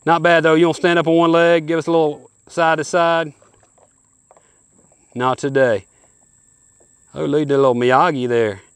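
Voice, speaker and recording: A man's voice: on the high side, fairly even intonation, normal speed, long pauses, clean tone, no room sound. A man in his forties hosting a hunting show, giving an upbeat, folksy walkthrough of kayaks outdoors by a lake. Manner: upbeat, folksy salesman-like patter. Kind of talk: product review walkthrough. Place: outdoors on a lakeshore.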